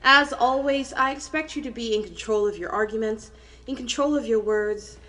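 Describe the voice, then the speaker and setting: An actress playing a middle-aged woman literature professor in an audio play, lecturing with measured, emphatic academic diction and underlying emotion. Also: on the high side, close to an everyday voice. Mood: composed, firm.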